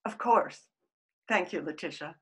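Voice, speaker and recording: An older woman playing a genteel, polite 1908 suffragist in a radio play, with an expressive, mannered delivery. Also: lowish voice, a little slow, lightly acted. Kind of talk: period radio play acting. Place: at home on a video call.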